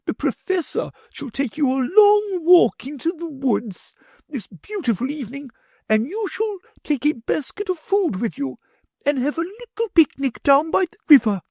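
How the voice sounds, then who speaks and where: Male reader as a haughty scheming noblewoman: shrill, falsely sweet, wildly swooping delivery. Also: sing-song, normal speed, gravelly, a little mellow, no room sound, heavily acted. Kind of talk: audiobook character reading.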